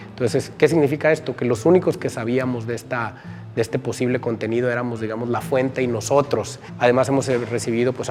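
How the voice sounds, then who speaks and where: A man with a Mexican accent giving unscripted interview testimony in a measured, explanatory way, often checking that his point has landed. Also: mid-range voice. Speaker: news organization director giving testimony.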